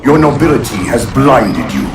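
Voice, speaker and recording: An actor playing an ancient, vengeful alien warlord in a video game, speaking in a pompous, menacing and contemptuous way. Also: male voice, sing-song, lightly acted. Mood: contemptuous, grand. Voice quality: deep, gravelly. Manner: slow, pompous, menacing declamation. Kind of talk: dramatic video game villain acting. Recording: cavernous echo, distant, music behind. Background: music.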